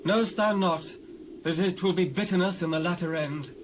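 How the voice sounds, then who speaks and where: A British man playing a proud, forceful biblical army commander, declaiming archaic lines with emphasis in a dramatised scripture reading. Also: sits high, fairly quick, natural voice. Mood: pleading challenge. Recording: hissy old cassette transfer.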